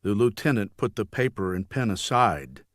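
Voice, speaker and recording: A man narrating scripted fiction as an audiobook reader, with grave, measured storytelling. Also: lowish voice, big pitch swings, no echo, studio quality.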